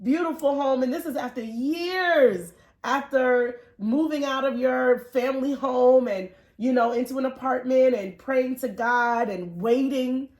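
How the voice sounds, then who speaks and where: A woman with a somewhat high voice hosts an interview, recorded with a clearly roomy echo. She speaks conversationally and supportively, affirming and encouraging the person she is talking with. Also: unhurried, few pauses, darkish tone. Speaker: podcast host.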